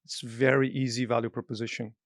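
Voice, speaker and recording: A man's voice: medium pitch, level tone, fast talker, no echo, studio quality. A man hosting a podcast, asking questions in a curious way with non-native grammar. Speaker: podcast host. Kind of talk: casual interview talk.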